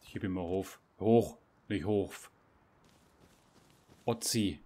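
Chatty low-voiced man gaming. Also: slow, dark tone, husky. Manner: chatty, self-mocking, tag questions "ne?". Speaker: gamer streamer. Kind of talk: casual gameplay chat.

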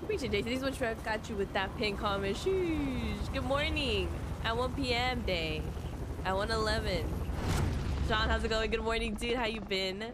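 A young woman streaming a game from her bedroom, hoarse from losing her voice, chatting and greeting viewers by name over a headset mic. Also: fairly high pitch, normal speed, very noisy. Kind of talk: casual game stream chat. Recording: loud game gunfire behind.

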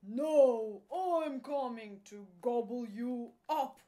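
A woman voicing a greedy, menacing troll in a storybook tale, with loud, drawn-out roaring threats. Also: on the high side. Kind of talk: storybook character voice.

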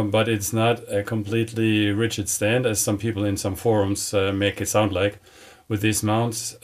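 A man's voice: mid-range voice, darkish tone. A man in his forties, a native German speaker, talking casually to camera inside a truck cab, explaining his build with many ums and uhs. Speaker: vlogger building a camper. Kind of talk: casual DIY update to camera.

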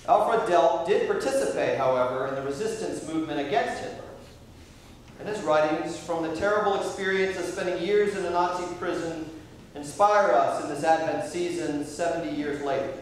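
A middle-aged American man preaching a sermon as priest, reading quotations in a slow, measured way, recorded from far back in a large church sanctuary. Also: on the high side, very echoey, slight background noise. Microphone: far camera at the back of the church.

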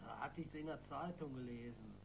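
An actor playing a defiant, sullen street gang boss under questioning in a radio crime play, with slangy speech. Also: male voice, mid-range voice, fairly quick, vocal fry, noisy background, lightly acted.

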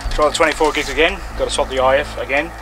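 A man's voice: medium pitch, fairly even intonation, quick pace, music in the background, poor audio. An Australian man in his forties giving an informal outdoor demonstration as an amateur radio operator. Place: open grassy hillside outdoors. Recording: outdoor recording with clear background noise.

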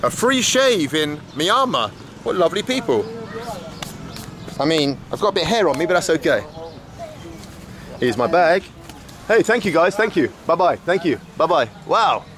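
A man's voice: fairly high pitch, sing-song, normal speed, pauses often, a bit bright. A man in his forties, a travel vlogger, chatting jokily to camera and to locals in simple English while filming himself on a handheld camera. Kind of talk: casual travel vlog narration. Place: open-sided roadside barber hut, then a sunny street outdoors. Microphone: handheld action camera at arm's length. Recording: outdoor street noise behind.

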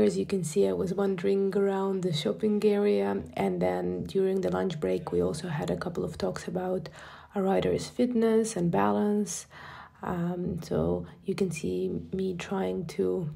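A woman's voice: lowish voice, fairly even intonation, a little slow, creaky, dark tone, a little hiss or hum. A young woman vlogging casually about a dressage event, chatty with hesitant fillers, filmed on a handheld phone. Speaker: vlogger for a horse training team. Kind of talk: casual vlog narration. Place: outdoors at an equestrian venue and indoors.

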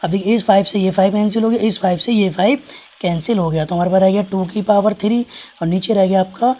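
A man teaching a maths lesson with a hoarse voice that is giving out. He works through each step patiently and keeps asking the class what comes next. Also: high-pitched, fast, dark tone. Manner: patient, explains with repeated rhetorical questions. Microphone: hands writing on paper, camera over the page.

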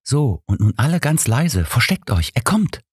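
A man voicing a bossy, motherly woman in an audiobook, with a brisk and emphatic manner. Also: mid-range voice, sing-song, husky, no room sound, clean studio sound, pitched above the performer's own voice. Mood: hushed excitement. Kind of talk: audiobook character reading.

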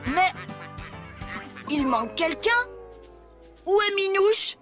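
Children's cartoon tractor: very high-pitched, childlike, cheerful show-off, loud music underneath. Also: female voice, expressive, slow, heavily acted. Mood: excited. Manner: bubbly, exclamatory. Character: eager young boastful tractor, childlike and cheerful. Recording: music behind the voice.

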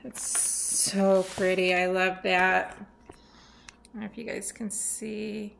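A middle-aged woman chatting casually as she unboxes a swap package at home, rambling and thinking out loud, her voice a little worn as she comes down with something. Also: mid-range voice, little pitch movement, takes it slowly, vocal fry, a little hiss or hum. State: losing her voice, getting sick. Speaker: nail art swap host. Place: sunny room at home by a window.

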